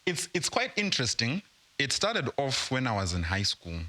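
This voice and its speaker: A young man from Zimbabwe, a guest entrepreneur, explaining at a slow, measured pace in a casual interview. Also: medium pitch, wildly swooping pitch, pushed and bright, dry recording. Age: young adult.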